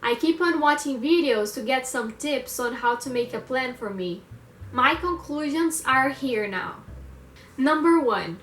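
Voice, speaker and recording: A woman's voice: sits high, fairly bright tone, slight background noise. Brazilian woman in her twenties, roomy echo. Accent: Brazilian Portuguese. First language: Portuguese. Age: 20s. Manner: reflective, earnest, with non-native phrasing and short pauses. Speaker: vlogger. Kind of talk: personal monologue vlog. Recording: roomy echo with guitar music. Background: guitar music.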